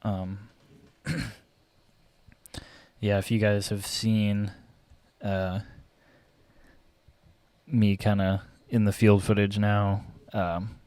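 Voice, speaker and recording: Low-voiced man, hesitant and a little raspy. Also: flat delivery, extremely slow, pauses often, darkish tone, no room sound. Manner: hesitant, many fillers and restarts, clears throat often. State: voice getting raspy. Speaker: photographer and vlogger. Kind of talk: casual rambling commentary while photo editing. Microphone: desk mic.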